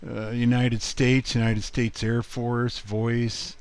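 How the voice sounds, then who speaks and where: A man giving a casual, rambling walkthrough as a hobby video host, halting often as he thinks aloud. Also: medium pitch, little pitch movement, clean tone, dry recording. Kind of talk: casual screen walkthrough commentary.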